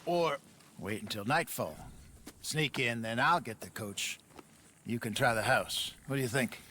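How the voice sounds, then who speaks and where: A genial American con man of the Old West, with a mid-range voice. He coaxes and reasons wryly, as in a game cutscene, over clear outdoor background sound. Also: mid-range voice, a little slow, pushed and bright, slight background noise. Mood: scheming. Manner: persuasive, wry. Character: smooth-talking male western con man, genial and persuasive. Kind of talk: video game cutscene acting.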